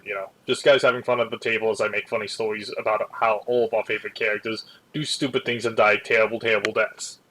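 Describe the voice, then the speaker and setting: A man's voice: fairly low pitch, a bit bright, rough recording. A man chatting casually as a creator introducing their own work, rambling and self-deprecating. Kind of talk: casual chat.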